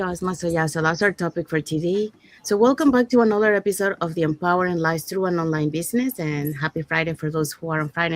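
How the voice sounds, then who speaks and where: A woman from Panama hosting an online talk show, welcoming guests and introducing the day's topic in an upbeat, measured way. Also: lowish voice, no echo, rough recording. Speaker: show host.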